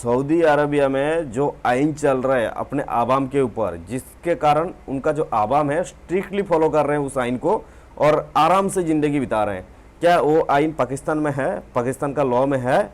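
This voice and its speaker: A man's voice: on the high side, moderate pace. A man hosting a reaction channel, giving an opinionated commentary straight to his viewers. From India. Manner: opinionated, addresses viewers directly. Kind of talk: commentary monologue.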